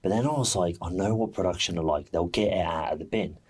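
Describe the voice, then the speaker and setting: Low-voiced man, candid casual interviewee. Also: quick pace, darkish tone, a little hiss or hum. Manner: candid, colloquial storytelling with quoted dialogue. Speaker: reality TV contestant, interview guest.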